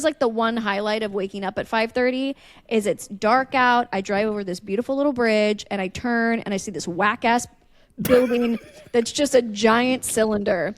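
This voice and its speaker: Higher-voiced woman, dry studio sound. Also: no echo. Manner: playful, mock-earnest joking. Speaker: podcast co-host. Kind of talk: casual comedic group chat.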